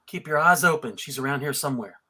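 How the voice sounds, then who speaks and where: A woman's voice: extremely low pitch, fast talker, bright, forceful tone, husky, heavily acted. A woman playing a resolute, cool-headed warrior who speaks in firm, terse lines at a live fantasy table read.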